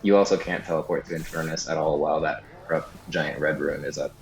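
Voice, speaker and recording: Man, low-pitched, casual game guide commentary, explanatory, hedging, slightly echoey room, faint background music. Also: steady tone, fast talker, creaky. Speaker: guide narrator. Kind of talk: video game walkthrough commentary, unscripted.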